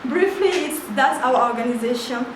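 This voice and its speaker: A young woman whose mother tongue is French speaks in a high voice, pausing and restarting often as she works through her English. She is heard from far off in an echoey hall, with steady noise behind her. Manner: hesitant, with fillers and restarts, apologetic about her English. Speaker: admin officer of a charity. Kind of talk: short prepared talk at a workshop.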